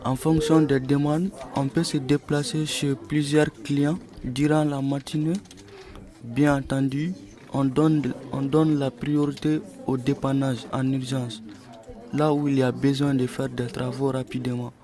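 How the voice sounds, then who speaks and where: A man's voice: mid-range voice, little pitch movement, a little slow, crowd noise. A young man giving a prepared, explanatory description of a job. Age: young adult.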